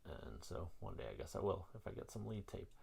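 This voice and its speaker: A man giving a casual, hands-on gear demonstration in an informal, rambling, self-deprecating way, with a gravelly, husky voice. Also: deep voice, big pitch swings, fairly quick, darkish tone. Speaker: vlog host.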